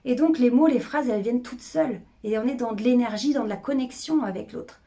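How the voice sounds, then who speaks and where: A middle-aged woman speaking as a public speaking coach, energetic and emphatic, often repeating phrases to drive a point home. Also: a little high, a little fast. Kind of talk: coaching video monologue.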